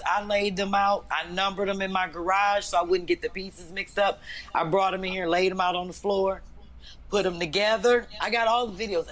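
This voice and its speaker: A woman comedian and booker with a deep, raspy voice, telling stories bluntly in casual podcast chat and acting out the quotes. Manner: blunt, animated storytelling with acted-out quotes.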